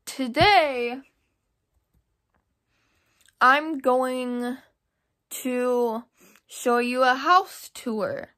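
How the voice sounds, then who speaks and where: Slow, halting young woman vlogger. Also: sits high, pushed and bright. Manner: hesitant, halting, thinking aloud. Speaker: vlogger giving a house tour. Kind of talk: casual rambling home-tour chat to camera. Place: rooms of a home, indoors. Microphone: handheld camera or phone at arm's length.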